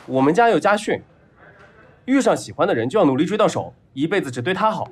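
A man's voice: on the high side, very animated, quick pace, husky. An actor playing a lovestruck, spoiled young rich man in an audio drama, clingy and naive, with an eager, whiny, childishly earnest way of talking. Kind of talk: Chinese audio drama acting.

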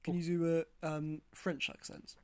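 A man's voice: fairly high pitch, completely flat delivery, measured pace, darkish tone, clean tone, dry recording, studio quality. A man co-hosting a podcast, hesitant and giggly in casual chat, in a small recording booth. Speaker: podcast co-host. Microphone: studio microphone.

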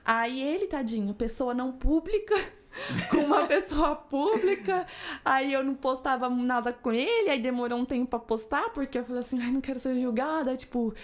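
A woman from Brazil, a podcast guest chatting casually and telling stories with quick asides and quoted voices. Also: sits high, animated. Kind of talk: casual chat.

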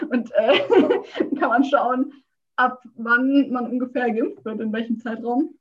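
A woman in a casual chat, teasing her friend and quick to laugh. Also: on the high side, breathy edge, dull audio.

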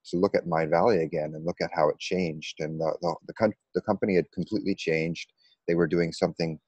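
A middle-aged man with an American accent, telling his personal story conversationally with a halting, pause-filled delivery. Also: low voice, a little mellow, no echo, highs cut off. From United States. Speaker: interview guest, meditation coach.

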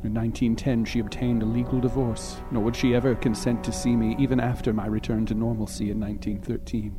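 A man reading a literary first-person narrative aloud in a formal, measured, dramatic audiobook style. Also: mid-range voice, little pitch movement. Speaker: reader. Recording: music playing behind the voice. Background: background music.

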